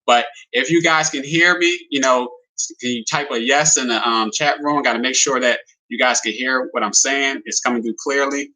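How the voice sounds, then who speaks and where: Guy hosting a live stream, chatty and friendly, talks simple with lots of "you know", voice kinda sharp and bright. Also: medium pitch, normal speed, low-quality recording. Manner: friendly, addresses viewers as "guys". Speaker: livestream host presenting a business opportunity. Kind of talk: casual livestream presentation.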